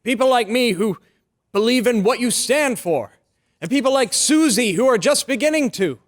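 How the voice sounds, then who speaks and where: A man's voice: very high-pitched, lively intonation, normal speed, dry recording, studio quality. A man playing an earnest, loyal lawyer in an old-time radio drama. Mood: urgent. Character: earnest, loyal young male lawyer pleading for his friend.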